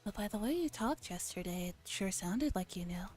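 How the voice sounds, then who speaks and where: A woman's voice: medium pitch, animated, a little fast, natural voice. A woman voicing a stern, blustering young man with a pompous, threatening manner in a visual novel. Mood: demanding. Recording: music behind the voice.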